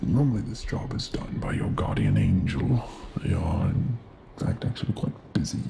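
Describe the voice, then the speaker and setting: A man playing Death as a courteous, gentle figure in a soft-spoken ASMR roleplay, formal, halting and politely deadpan. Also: on the low side, gravelly. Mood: solemn, matter-of-fact.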